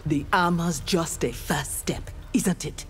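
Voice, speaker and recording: Low-voiced, defiant ninja warrior. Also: female voice, slow. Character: defiant, honorable armored female ninja warrior. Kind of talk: scripted video game dialogue. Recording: music behind the voice.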